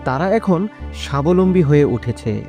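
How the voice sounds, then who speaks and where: A man's voice: fairly high pitch, expressive, measured pace, darkish tone, soft background music. A man giving scripted narration for a moral story, as the narrator of a cartoon.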